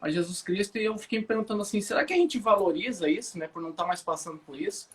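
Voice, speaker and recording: A man, hosting a morning Bible live stream, relaxed and chatty, often restarting sentences. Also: sits high, fast talker. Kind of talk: casual chat, prayer and Bible commentary. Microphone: phone or webcam for a live stream.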